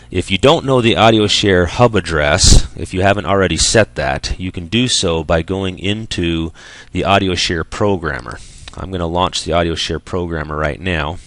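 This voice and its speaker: A man giving an unscripted software tutorial as an instructor, explaining things step by step in a voice-over. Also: sits low, no room sound.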